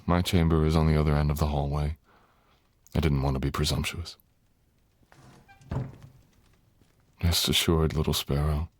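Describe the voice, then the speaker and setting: Man playing a very deep, gravelly dragon lord. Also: full character voice. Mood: gentle, restrained. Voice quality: very deep, gravelly, husky, often breathy.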